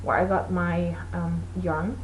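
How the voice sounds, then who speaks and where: A woman's voice: lowish voice, flat delivery, slow pace, a little hiss or hum, rough recording. Chatty woman, native Portuguese speaker. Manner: rambling, chatty, many fillers. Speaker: knitting podcast host. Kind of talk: casual chat.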